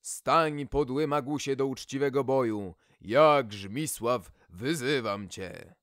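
A man's voice: medium pitch, takes it slowly, a bit bright, no room sound, clean studio sound. A man voicing a bold, dim-witted knight errant in a storybook, with a grandiose, declamatory manner. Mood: defiant.

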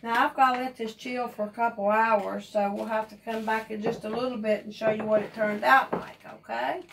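A woman's voice: on the high side, fairly even intonation, fairly quick, vocal fry, a bit bright, a little hiss or hum. An older Appalachian woman hosting a home cooking show, chatting and teasing in a folksy way while she cooks in her kitchen. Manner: folksy, teasing, laughs often. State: sore mouth, recovering voice. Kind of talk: casual cooking chat. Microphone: camera at a distance. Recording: distant camera mic in a kitchen.

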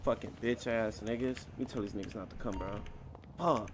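A man's voice: lowish voice, brisk, noisy background. A man in casual, slang-heavy game roleplay chat, swearing freely in brash street talk. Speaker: game streamer playing a gang member in roleplay.

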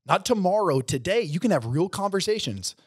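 A man's voice: sits high, very animated, a little fast, slightly rough, no echo. A man in his forties co-hosting a business podcast, talking emphatically like a coach giving a pep talk, on a studio mic in a gym. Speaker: podcast co-host. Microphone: studio mic on boom arm, headphones.

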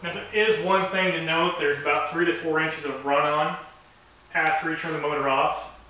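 A man's voice: on the high side, fairly even intonation, normal speed, bright, forceful tone, a little hiss or hum. A young man with dry, wry humor, demonstrating a product to camera in a garage workshop. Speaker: company owner demonstrating a product. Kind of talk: product demo talking to camera. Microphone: camera mic from across the room. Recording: very echoey garage, heard from a distance.

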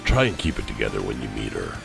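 A man playing a gruff, teasing young bodyguard in a fan audio drama, with a gravelly voice and dry manner. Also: very deep voice, wildly swooping pitch, quick pace, music in the background, heavily acted.

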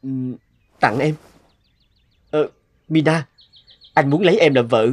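A man's voice: on the high side, slow pace, husky. A man voicing a rugged young hunter in a fairy-tale cartoon, devoted and earnest in a romantic way. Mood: earnest, pleading.

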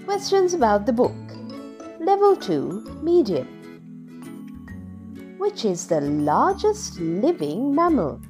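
A woman narrating a scripted children's word list and quiz questions in deliberate, separated phrases. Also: mid-range voice.

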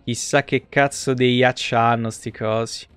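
A man's voice: medium pitch, flat delivery, unhurried, a bit bright, clear, resonant tone. A man, giving casual, blunt and sweary live game commentary to his chat. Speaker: live streamer. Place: indoor room at home, streaming setup. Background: video game music.

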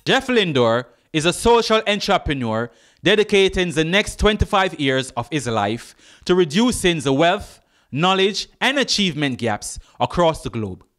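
Male show narrator, higher voice, animated announcer read, soft music under. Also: moderate pace. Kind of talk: scripted introduction.

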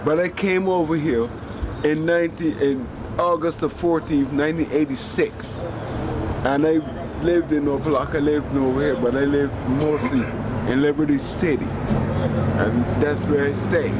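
A middle-aged man from Barbados, speaking slowly and haltingly outdoors on a street in a casual interview. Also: a little high, steady tone. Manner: halting, restarts often, slow. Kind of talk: casual storytelling. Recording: loud street noise.

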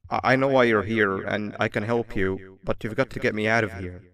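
Two-faced mascot devil principal from a game, lowish voice, smooth talker, theatrical. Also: male voice, fairly even intonation, a little fast, a bit bright. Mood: anxious, pleading. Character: scheming male red devil mascot principal, falsely friendly. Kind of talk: video game voice acting.

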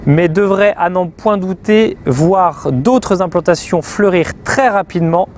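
A man, presenting a vehicle review in a measured, explanatory way into a lapel mic. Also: high-pitched, darkish tone, a little hiss or hum. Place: outdoors by a river and inside a motorhome.

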